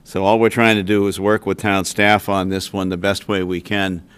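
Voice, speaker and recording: An older man serving as a town councilor, explaining a decision in a measured way at a council meeting, speaking into a desk microphone. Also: low-pitched, flat delivery, fairly bright tone, clear, resonant tone. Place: council chamber.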